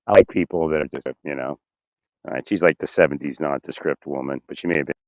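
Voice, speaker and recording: A man with a slightly hoarse, raspy voice talking in a rambling, halting way, repeating words, chuckling often and asking "right?" as he speaks into his phone outdoors. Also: rumbling low, dry recording, rough recording. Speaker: vlogger. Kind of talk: rambling casual monologue. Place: outdoors in winter.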